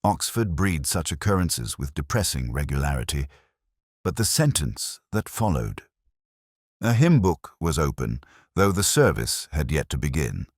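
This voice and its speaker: A man playing a weary, sharp-minded police detective, narrating a mystery in a measured, literary, wry and brooding way. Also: a bass voice, breathy edge, dry recording, studio quality, exaggerated voice. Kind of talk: scripted first-person detective narration.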